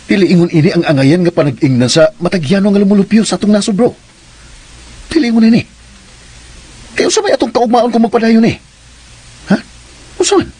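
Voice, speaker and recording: Fast, husky, indignant Filipino idealist. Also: male voice, high voice, exaggerated voice. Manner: forceful questioning, preachy. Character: earnest, indignant idealistic ex-soldier, man. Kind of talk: Filipino radio drama acting.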